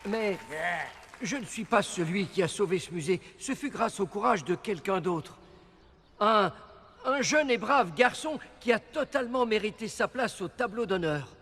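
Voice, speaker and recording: An actor playing a humble, proud father and museum director with a husky voice, giving a heartfelt speech in an animated film. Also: high voice, normal speed, heavily acted. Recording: hall reverb, faint crowd.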